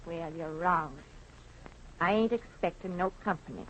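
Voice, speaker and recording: An American actress playing a gruff, bad-tempered, proud mother in a 1930s radio drama, speaking curtly and defensively. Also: lowish voice, measured pace, a bit bright, rough recording. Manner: curt, defensive, folksy grammar. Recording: hissy old 1930s radio recording.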